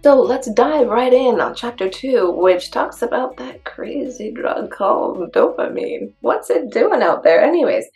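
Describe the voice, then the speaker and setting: A woman's voice: a little high, animated, unhurried, creaky, hardly any pauses, a little mellow, faint music behind. A woman hosting a personal development show, reading from a book aloud and adding conversational commentary, with rhetorical questions and emphasized key phrases.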